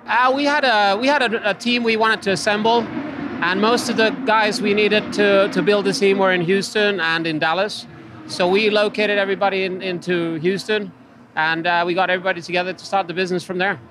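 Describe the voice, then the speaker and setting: A man from Finland with a Finnish accent, answering interview questions hesitantly and with many filler sounds, outdoors at a loud racetrack. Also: high-pitched, steady tone, brisk. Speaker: interview guest, company executive. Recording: noisy outdoor racetrack recording.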